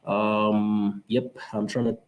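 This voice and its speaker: A man from Angola with an Angolan Portuguese accent, hosting a podcast and talking in a halting way with many fillers and restarts. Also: fairly low pitch, level tone, lo-fi sound. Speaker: podcast host. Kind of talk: casual conference talk.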